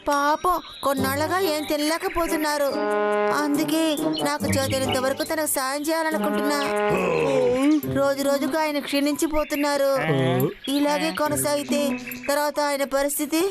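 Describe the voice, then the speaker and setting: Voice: high voice, level tone, moderate pace, close to an everyday voice. An actor voicing a small, kind-hearted animal sidekick in a Telugu cartoon dub, earnest and pleading. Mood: earnest, worried. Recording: loud music under the voice. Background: loud cartoon music.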